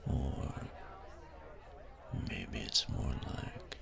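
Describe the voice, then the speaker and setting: A man playing a cocky, taunting, domineering young bully in an intimate audio roleplay, speaking in a breathy, gravelly half-whisper with slow, teasing menace. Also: a crowd in the background, background noise. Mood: playful menace. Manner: slow, teasing, menacing delivery with drawn-out pauses.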